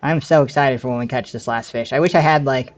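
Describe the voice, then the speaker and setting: A man's voice: medium pitch, fast talker. Rambling man in his late twenties on stream. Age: 20s. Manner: rambling, self-correcting, laughs often. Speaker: game streamer. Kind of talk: casual live-stream chat with viewers.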